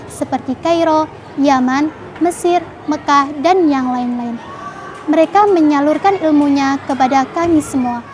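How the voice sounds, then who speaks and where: Young woman student, careful recital, noisy. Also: high-pitched. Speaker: madrasah student. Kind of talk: testimonial to camera. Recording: noisy background.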